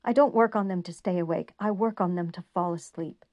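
A woman's voice: on the low side, fairly quick, darkish tone, no room sound, lightly acted. A woman voicing an agitated, frightened young male doctor who raises his voice. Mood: weary.

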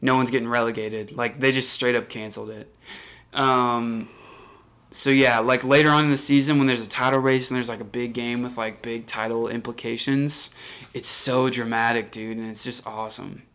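A young man co-hosting a casual podcast, talking excitedly in slang and laughing often. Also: medium pitch, moderate pace, clean tone. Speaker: podcast co-host.

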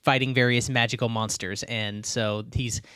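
Male tabletop player, average pitch, loose joking chat, close and dry. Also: fairly even intonation, normal speed, sharp tone, clear, resonant tone, dry recording. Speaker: podcast regular and player.

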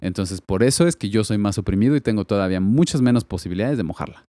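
Guy, low mellow voice, rambling explainer, dry close sound. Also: expressive, fast, dry recording. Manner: thinks aloud with many fillers and tag questions. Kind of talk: casual discussion.

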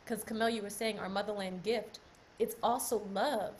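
A woman's voice: mid-range voice, steady tone, moderate pace. Earnest woman on a call, faint hiss. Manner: earnest, repeats phrases. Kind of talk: heartfelt closing remarks on a call.

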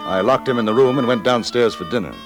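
An actor playing an urbane, wry gentleman adventurer in a radio western, speaking in a polite, formal, understated manner. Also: medium pitch, fast, music in the background, lightly acted. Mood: measured, wry. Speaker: lead actor and narrator. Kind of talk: 1950s radio western acting, first-person narration.

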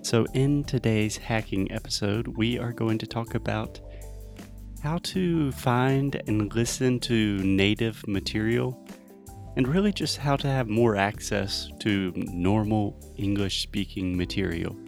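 Low-pitched American man hosting a podcast. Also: a little slow, vocal fry, soft background music. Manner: informal, emphatic, simple explanatory phrasing. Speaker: podcast host. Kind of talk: casual English-learning podcast, speaking directly to listeners.

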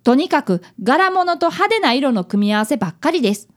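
A woman's voice: high voice, very animated, a little fast. A woman playing an earnest, polite boy of about eleven, an apprentice detective in a radio drama. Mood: startled. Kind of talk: radio drama acting and narration.

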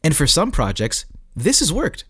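A man's voice: a little high, huge pitch swings, normal speed, a bit bright, slightly rough, no room sound, clean studio sound. A man reading a scripted article aloud as a narrator, with a tongue-in-cheek manner.

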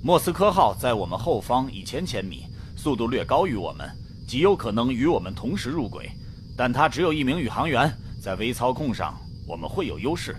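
A man plays a skeptical astronaut in a radio play, briskly reading out distances and dismissing wild ideas. His intonation moves a lot. A faint hiss sits behind him. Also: medium pitch, sing-song, lightly acted. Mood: reporting. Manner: matter-of-fact, dismissive. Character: Manish, calm skeptical male astronaut pilot. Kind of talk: radio drama acting.

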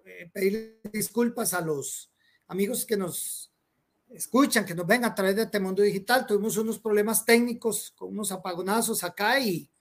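Halting talk show host. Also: male voice, sits high. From Costa Rica. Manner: halting, searches for words.

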